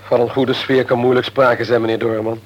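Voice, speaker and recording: An actor playing a wounded but dignified office worker in a radio play, clipped and formally polite. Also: male voice, mid-range voice, flat delivery, fairly quick, lo-fi sound, lightly acted. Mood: tense, offended. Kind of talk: Dutch radio play acting. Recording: old radio recording, faint noise.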